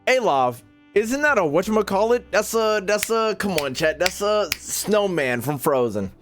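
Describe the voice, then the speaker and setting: A man's voice: sits high, very animated, moderate pace, music in the background. A young American man giving casual, joking, slangy gameplay commentary as a streamer talking to his chat. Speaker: gaming streamer.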